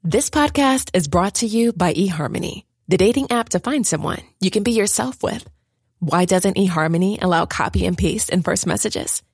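A woman's voice: medium pitch, animated, normal speed, slightly rough, no echo, studio quality. A woman reading a commercial in an upbeat, persuasive way. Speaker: ad reader. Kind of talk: read commercial.

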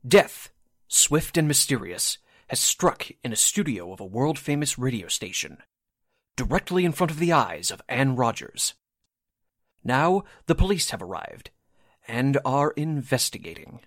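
A man's voice: mid-range voice, big pitch swings, measured pace, pauses often, a bit bright, no room sound, clean studio sound. A man narrating a radio drama as its announcer, with dramatic scripted delivery in an old-time radio style.

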